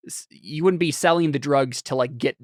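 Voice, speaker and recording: A man's voice: a little high, moderate pace, no echo, clean studio sound. A man as game master of a tabletop game, talking casually with players, with many fillers and restarts. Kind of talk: casual game chat, reading chat.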